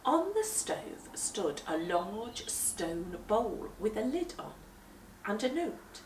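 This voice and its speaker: Animated woman storyteller in an echoey room. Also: mid-range voice, a little hiss or hum. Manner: deliberate, animated, emphatic storytelling. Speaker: storyteller reading aloud to children. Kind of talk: expressive children's picture-book read-aloud. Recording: roomy echo, distant microphone.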